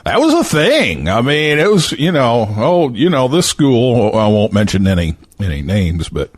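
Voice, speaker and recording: A man hosts a casual chat show with a very animated pitch that rises and drops often. His delivery is joking and teasing, and the sound is dry and close. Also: a little slow, no room sound. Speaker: podcast host.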